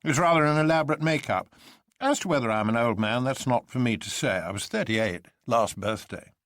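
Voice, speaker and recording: A man's voice: mid-range voice, brisk, fairly bright tone, no room sound, voice pushed up, lightly acted. Storybook professor male reader acting: a young man in disguise, grim, dispassionate, sober, with a close dry sound. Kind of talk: dramatic storybook reading.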